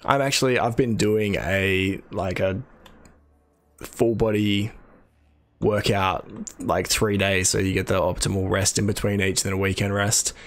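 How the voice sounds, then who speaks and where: A young Australian man with a husky, gravelly voice, sitting a little low in pitch. He is sick and his throat is rough, so every word carries that grit. He chats loosely to friends and viewers on a mic close to his mouth. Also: fairly even intonation, measured pace. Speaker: game streamer. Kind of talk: casual chat while gaming. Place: small room at home. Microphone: studio mic on an arm.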